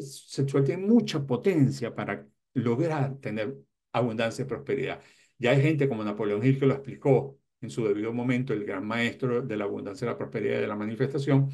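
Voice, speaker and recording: Man in his sixties, self-help video host, relaxed warm tone, emphatic delivery, motivational advice. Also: mid-range voice. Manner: emphatic, repeats key words for emphasis. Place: small indoor room lined with bookshelves.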